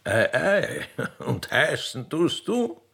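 A man playing a genteel, condescending but kindly old emperor with an Austrian accent and a gravelly voice in a radio play. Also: fairly high pitch, sing-song, slow, fairly bright tone, pitched above the performer's own voice, exaggerated voice. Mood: lordly. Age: older. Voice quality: gravelly, husky. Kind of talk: radio play character acting.

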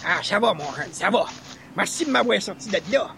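A feisty old Quebec grandpa for a radio comedy. The voice is gravelly and creaky with a sharp, bright edge and homely, folksy phrasing, recorded with faint noise. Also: male voice, sits high, animated, a little fast, exaggerated voice. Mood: cheerful, grateful. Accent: Quebec French. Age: older.

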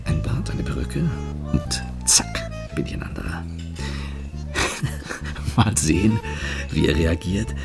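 Gentleman thief for radio drama: low-pitched, swooping delivery, suave, theatrical, amused and ironically polite. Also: big pitch swings, takes it slowly, creaky, pauses often, over music, heavily acted. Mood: amused, scheming. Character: suave, teasing French gentleman thief, master of disguise. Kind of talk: German radio play acting.

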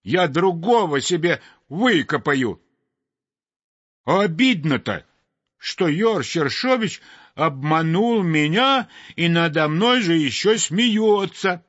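A man voicing a hot-tempered male sparrow with a family to feed, shouting shrilly and breathless with rage in a storybook reading. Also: fairly high pitch, no room sound, dull audio, pitched above the performer's own voice, heavily acted. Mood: indignant.

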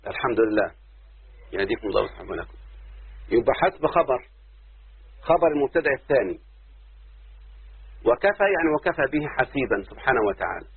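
A man with an Egyptian accent, a sheikh teaching Arabic grammar, explaining slowly and asking his listeners questions as he goes. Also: mid-range voice, sing-song, gravelly, slightly rough, dry recording. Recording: tinny phone-like line, dry.

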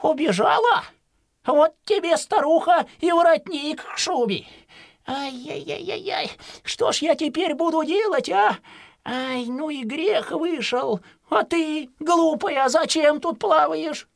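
An actor playing a stooped, folksy old peasant hunter in a children's audio play, grumbling yet kindly and muttering to himself. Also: male voice, extremely high pitch, takes it slowly, vocal fry, dry recording, studio quality, heavily acted. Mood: astonished.

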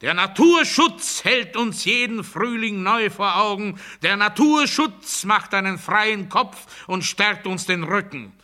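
Sharp-voiced, coldly pedantic prisoner. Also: male voice, high voice, animated, slow, few pauses, heavily acted. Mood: fervent, declamatory. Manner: precise, declamatory, officious. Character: coldly pedantic male prisoner, menacing, grandiose monologuist. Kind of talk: literary radio play monologue.

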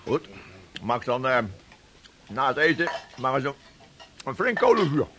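An actor playing a terse, deliberate skipper in a historical radio play. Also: male voice, medium pitch, sing-song. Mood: deliberating. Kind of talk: Dutch radio play dialogue.

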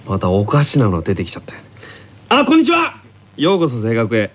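A man playing a brash, cheeky, food-loving teenage tennis player in an anime radio drama, announcing like an excitable school broadcaster. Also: medium pitch, fairly quick, breathy edge, highs cut off. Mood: surprised, casual.

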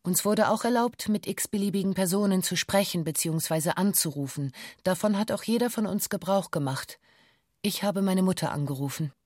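An actress playing a woman taken hostage, giving a formal, measured, factual witness account in a documentary radio play. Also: on the low side, darkish tone, no room sound, clean studio sound, natural voice. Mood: sober, frank.

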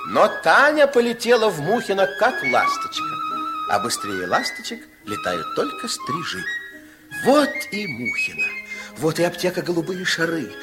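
A man's voice: high-pitched, normal speed, loud music behind the voice. A man narrating a Soviet children's radio play in a theatrical, playful, confiding storytelling style. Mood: expressive storytelling. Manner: theatrical, expressive. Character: expressive fairy-tale narrator, playful and confiding.

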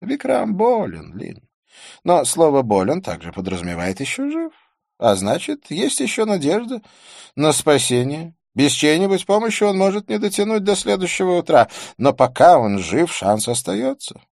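Give the audiobook a man performing a worldly bon vivant, a theatrical and loyal friend. His pitch sits well above a plain narrating voice and moves freely. He is exclamatory and philosophical, in a dry, close sound. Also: wildly swooping pitch, moderate pace, vocal fry, a little mellow, dry recording, heavily acted. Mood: gently earnest. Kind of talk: audiobook character voice.

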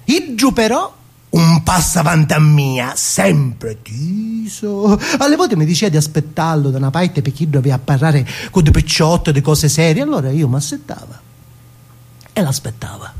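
An actor playing a naive, tender man from the margins recalling his childhood in a Sicilian accent, in a halting, childlike theatrical radio monologue. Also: fairly high pitch, very animated, a little mellow, breathy edge, full character voice. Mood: plain, reflective.

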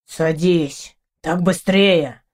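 Woman voicing a grumbling, cocky big tomcat, pitched low. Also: extremely slow, darkish tone. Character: boastful grumpy big talking wild male cat.

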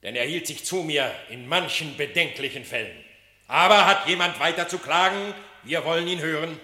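A stern animal monarch presiding over his court in a classic radio drama. The voice is bright and forceful, a little high, commanding and declamatory, ringing in a roomy space. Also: normal speed. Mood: magnanimous, commanding. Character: stern, imperious animal king sitting in judgment. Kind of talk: classic German radio drama verse acting.

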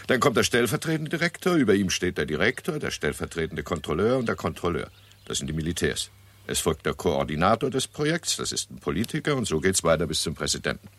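A man playing a wry, worldly scientist with a deliberate manner in a 1960s German radio play. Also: low-pitched, fairly bright tone, heavily acted. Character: wry, worldly male scientist, dryly mocking bureaucracy.

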